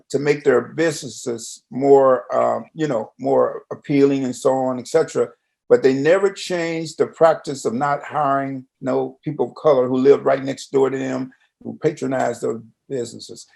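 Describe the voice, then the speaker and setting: A man's voice: medium pitch, gravelly, a little mellow. An American man hosting a conversational radio interview, with a folksy, roundabout, questioning way of talking.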